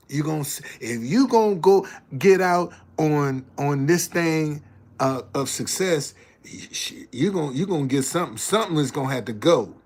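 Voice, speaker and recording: Gravelly seventy-year-old man, slow preacher. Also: fairly high pitch. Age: 70s. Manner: folksy, preacherly, reads chat messages aloud. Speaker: livestream host. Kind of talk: casual livestream talk and preaching.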